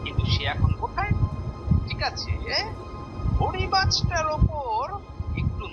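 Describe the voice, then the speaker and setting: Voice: extremely high pitch, animated, slow pace, dull audio, over loud music, exaggerated voice. An actor with a Bengali accent playing a clever, suspicious male court jester in a cartoon, musing and exclaiming.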